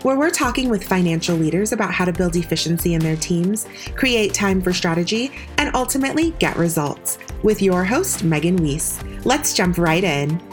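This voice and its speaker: A woman's voice: on the low side, animated, normal speed, hardly any pauses, soft background music. A woman reading a scripted show introduction for a podcast. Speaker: show announcer.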